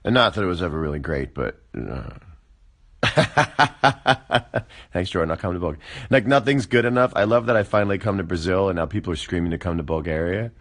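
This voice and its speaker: A man of forty with a very low voice that still swings widely in pitch as he riffs. He talks casually and wryly on a phone livestream, drifting from musing to dry, deadpan jokes. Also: a little fast. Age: 40s. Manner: wry, musing, deadpan asides. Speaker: livestream host.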